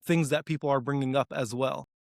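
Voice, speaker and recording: A man's voice: mid-range voice, fairly even intonation, fairly quick, creaky, no room sound. Earnest man in his thirties, dry sound. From Dallas, Texas, US. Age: 30s. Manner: eager, earnest, with many "like" fillers and restarts. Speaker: guest, applied research leader. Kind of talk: conversational interview.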